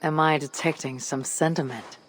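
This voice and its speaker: An actor playing a steadfast, earnest Viking warrior in a video game, speaking in a measured, solemn way. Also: male voice, a little high, steady tone, fairly bright tone, a little hiss or hum, close to an everyday voice. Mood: calm. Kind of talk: dramatic video game acting.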